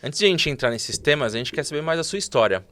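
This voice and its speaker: A man hosting a finance interview show, giving energetic intros. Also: fairly high pitch, fast. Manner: energetic intros, frequent "uhum" backchannels.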